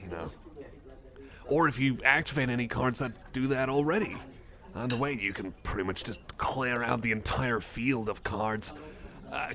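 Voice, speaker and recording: A man's voice: mid-range voice, gravelly. A man doing a casual ASMR roleplay as a friendly, nerdy cyborg, rambling hesitantly and correcting himself with mild enthusiasm. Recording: cafe chatter behind the voice. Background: cafe crowd ambience.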